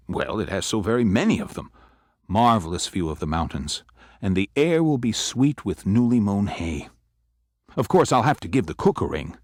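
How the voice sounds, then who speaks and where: Guy voicing a wry fatherly law boss, low voice that bounces all over, dry close sound. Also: husky, no room sound. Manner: avuncular, wry and lively. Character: older male lawyer boss, jovial and teasing. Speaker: law firm senior partner. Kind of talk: audio drama acting.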